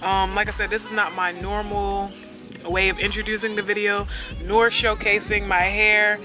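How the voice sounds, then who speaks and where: A woman's voice: mid-range voice, flat delivery, moderate pace, music in the background. Laid-back chatty young woman vlogger. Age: young adult. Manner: chatty, rambling, laid-back, lots of fillers. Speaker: beauty vlogger. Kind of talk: casual hair tutorial chat.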